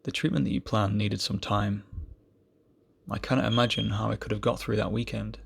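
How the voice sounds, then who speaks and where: Man, amateur poetry reader, solemn recitation, very gravelly, even-pitched, deliberate phrasing.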